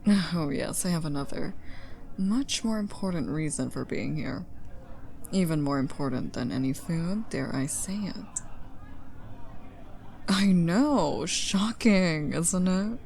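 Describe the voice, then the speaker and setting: Flirty, teasing party charmer played by a woman, unhurried and mellow, playful coaxing for an intimate ASMR roleplay. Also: lowish voice, expressive, exaggerated voice. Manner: playful, coaxing, drawn-out teasing with breathy asides. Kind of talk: ASMR roleplay, intimate one-sided dialogue.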